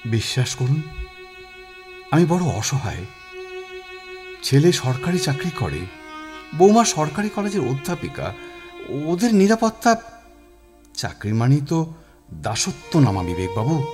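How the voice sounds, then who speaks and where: A man playing an anguished, helpless older publisher in an audio drama, pleading and apologetic in a theatrical, halting way. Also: fairly high pitch, sing-song, over music. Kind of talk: Bengali audio drama acting.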